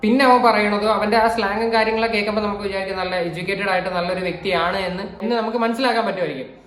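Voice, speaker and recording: A woman's voice: medium pitch, steady tone, racing. A woman with a Kerala accent giving casual reaction commentary as a video commentator. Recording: roomy, echoing indoor sound.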